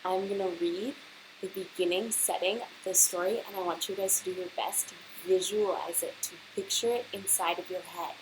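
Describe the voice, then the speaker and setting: A woman teacher reading a picture book aloud to children and pausing to prompt them with questions, with hiss on the recording. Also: fairly low pitch, fairly even intonation. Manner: instructional, pausing to prompt young listeners.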